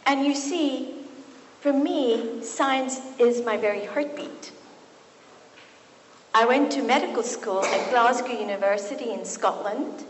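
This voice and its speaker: Woman, middle-aged, from Kenya, public speaker, deliberate emphatic delivery, reverberant hall, faint background noise. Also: fairly high pitch. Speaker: physician and author giving a talk. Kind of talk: public talk at a book event. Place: large resonant university hall.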